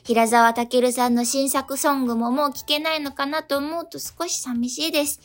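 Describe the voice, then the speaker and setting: A woman's voice: sits high, fairly even intonation, moderate pace. A woman co-hosting a radio talk show, politely reading listener mail aloud. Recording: radio talk over background music.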